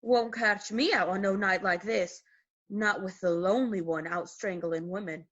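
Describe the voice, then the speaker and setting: A woman's voice: mid-range voice, steady tone, normal speed, a bit bright, natural voice. Woman playing a fearful, folksy old neighbour, muffled sound. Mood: alarmed warning. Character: fearful, gossipy old woman neighbour calling from her porch. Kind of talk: 1940s radio play acting.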